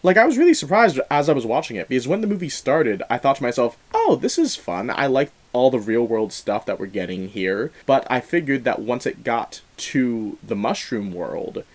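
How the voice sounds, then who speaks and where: A man's voice: medium pitch, animated, moderate pace, few pauses. A man giving a casual, opinionated film review, leaning emphatically on key words in an earnest way. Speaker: film review show host.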